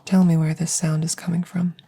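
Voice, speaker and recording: A woman voicing a calm, curious plant-like alien scientist in an ASMR audio roleplay, whispering softly and close with precise, formal, detached phrasing. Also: fairly low pitch, fairly quick, slight background noise, natural voice. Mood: clinical, calm. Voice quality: soft, breathy whispering. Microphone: close microphone.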